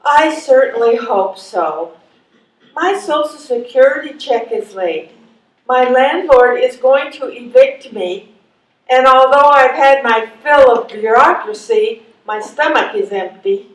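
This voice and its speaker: A woman's voice: high-pitched, expressive, slow pace. A woman acting an exasperated, desperate older woman seeking help in a readers theatre performance. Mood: indignant. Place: live theatre performance in a hall. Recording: echoey live stage, distant mic.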